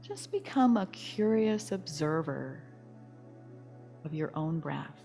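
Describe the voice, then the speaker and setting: Low, slow-paced woman guiding relaxation. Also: expressive, creaky, faint music behind. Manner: soothing, gentle, unhurried. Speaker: yoga nidra teacher. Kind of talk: guided relaxation meditation.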